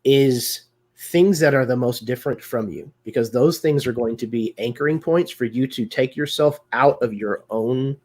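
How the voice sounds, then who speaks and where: Husky-voiced man, thoughtful and unhurried. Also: mid-range voice, darkish tone. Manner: thoughtful, lots of um fillers. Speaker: guest performer. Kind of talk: casual discussion of roleplaying games.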